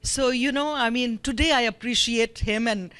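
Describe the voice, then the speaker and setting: A woman in her fifties from India, telling an animated personal story with many fillers from the floor of a forum. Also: on the high side, normal speed, clean tone. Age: 50s.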